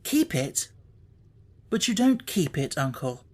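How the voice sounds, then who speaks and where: A woman's voice: sits low, big pitch swings, slow pace, darkish tone, full character voice. A woman playing a cheerful, forgiving young nephew in a radio play, earnest and pleading in manner. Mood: coaxing.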